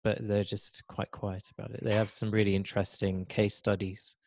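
A man in his thirties from Switzerland, hosting a podcast in casual conversation over a video call, halting and repeating words as he searches for them. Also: fairly low pitch, little pitch movement, a little mellow, slight background noise. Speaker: podcast host. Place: indoor room. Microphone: video call, desk mic.

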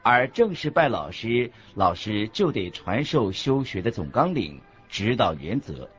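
A man's voice: on the low side, very animated, measured pace. A man reading a scripted religious teaching as the narrator of a radio lecture. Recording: dull lo-fi audio with background music.

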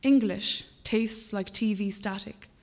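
A young Irish woman poet reading her work aloud in a measured, rhythmic way at a lectern microphone, at times dropping to a whisper. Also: mid-range voice, long reverb tail. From Ireland. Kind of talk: poetry reading. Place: small brick-walled performance venue, indoors. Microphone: stand mic at a lectern.

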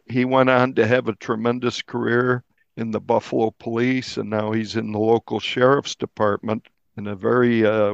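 A man's voice: lowish voice, vocal fry, no echo, lo-fi sound. A male journalist explaining his reporting in a podcast interview, earnest and repeating words for emphasis. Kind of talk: conversational true crime podcast interview.

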